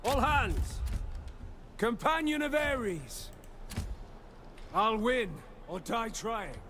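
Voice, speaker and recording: An actor playing fierce ancient warriors of a war game in terse, martial battle lines. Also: male voice, very high voice, very animated, very slow, sharp tone, a little hiss or hum, heavily acted. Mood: defiant.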